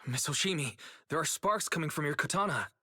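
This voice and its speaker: An actor voicing an earnest, caring young guide in a video game, speaking expressively with some hesitance and a gently teasing streak. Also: medium pitch, animated, fairly quick, breathy edge, no echo. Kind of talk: dramatic video game voice acting. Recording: music behind the dialogue.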